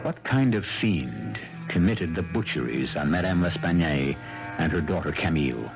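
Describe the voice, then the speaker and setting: A man's voice: extremely low pitch, animated, moderate pace, creaky. A man hosting and narrating a 1970s radio mystery show in an ominous, theatrical scripted style. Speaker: host and narrator. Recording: dull 1970s radio broadcast. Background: loud music.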